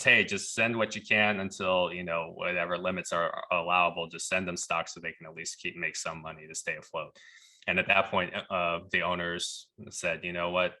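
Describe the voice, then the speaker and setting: A man's voice: deep voice, bright, forceful tone. A man speaking conversationally as a podcast guest, telling personal stories and often quoting dialogue. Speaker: pharmacist turned leadership coach. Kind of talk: conversational storytelling.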